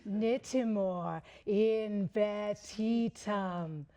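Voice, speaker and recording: A woman's voice: medium pitch, drawn-out words, warm tone, highs cut off. Theatrical woman hosting a mock séance. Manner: theatrical, old-fashioned formal speech. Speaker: presenter playing a séance medium. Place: large library event room. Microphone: handheld microphone.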